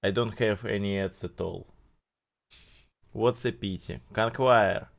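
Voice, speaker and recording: A man streaming and reacting to videos, speaking halting non-native English with many pauses and fillers. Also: on the low side, fairly even intonation, creaky. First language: not English. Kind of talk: casual live-stream commentary.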